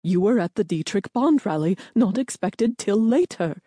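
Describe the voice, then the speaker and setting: A woman's voice: fairly high pitch, moderate pace, warm tone, no echo, natural voice. A woman playing an earnest young female intelligence clerk, anxious but determined, with an urgent, pleading manner. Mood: urgent, defensive. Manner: pleading, self-justifying, urgent.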